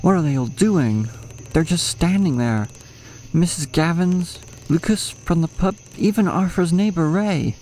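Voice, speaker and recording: A man's voice: on the high side, a little slow, dark tone, slight background noise, close to an everyday voice. An actor playing an anxious, impulsive man in a horror audio drama, speaking with dramatic intensity. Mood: alarmed, puzzled. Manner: urgent, dramatic. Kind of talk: horror audio drama dialogue and first-person narration. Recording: music behind the voice.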